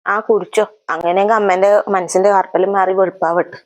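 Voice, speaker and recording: Woman playing fast-talking, sharp-tongued pregnant young mother, Kannur accent. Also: mid-range voice, little pitch movement, natural voice. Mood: irritated, defiant. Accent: Kannur, north Kerala. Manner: sarcastic retorts. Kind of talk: comedy sketch acting.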